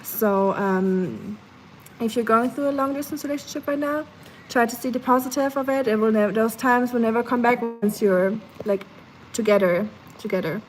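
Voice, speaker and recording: A woman's voice: fairly high pitch, moderate pace, slight background noise, poor audio. A young woman live streaming from her kitchen, half asleep, chatting casually with lots of fillers and reading her chat aloud. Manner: chatty, many fillers, sleepy and relaxed. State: sleepy. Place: home kitchen.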